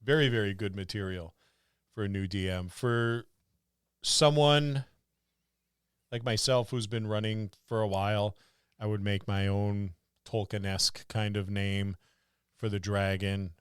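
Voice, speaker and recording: A man's voice: on the low side, steady tone, unhurried, pauses often, clear, resonant tone, no room sound, studio quality. A man co-hosting a casual tabletop role-playing podcast, thinking aloud and repeating words for emphasis. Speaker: podcast co-host and experienced game master.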